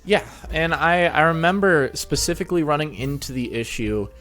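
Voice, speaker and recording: A man's voice: a little high, expressive, normal speed, fairly bright tone. Casual male interviewer over background music. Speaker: show host and interviewer.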